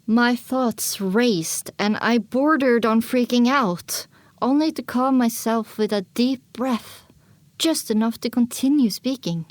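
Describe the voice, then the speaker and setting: A woman's voice: on the high side, slow, dry recording. A woman narrating an audio drama in the first person, with a dramatic scripted delivery.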